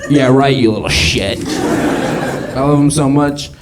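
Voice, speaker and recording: A man's voice: mid-range voice, slow, heavy background noise. A man doing a stand-up set on a comedy club stage. He is awkward and self-mocking, teases the audience and swears a lot. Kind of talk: stand-up set with crowd work. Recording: live club set with loud crowd. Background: audience laughter.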